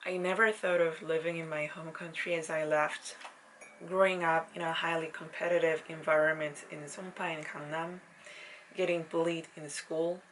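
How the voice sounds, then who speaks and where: A Korean woman in her thirties, a native Korean speaker, reads her personal diary entry in English in a calm, measured way with slightly non-native grammar. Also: sits low, slight background noise, low-quality recording. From South Korea. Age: 30s.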